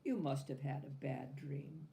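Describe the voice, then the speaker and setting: Lady doing a sweet old granny, soothing and tender, mellow and slow. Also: very deep voice, creaky, slight background noise, exaggerated voice. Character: kind, comforting old grandmother.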